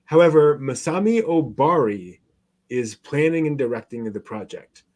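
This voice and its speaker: Male co-host, mid-pitched, casual news commentary, halting as he checks his notes. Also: expressive, a little mellow. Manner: halting delivery, searching through notes aloud. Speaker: co-host of an anime news show.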